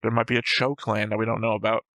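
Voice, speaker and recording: Bright-voiced man talking things through casually. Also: lowish voice, level tone, fast talker, dry recording. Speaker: host of an anime reaction show. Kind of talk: casual discussion and analysis of an anime episode.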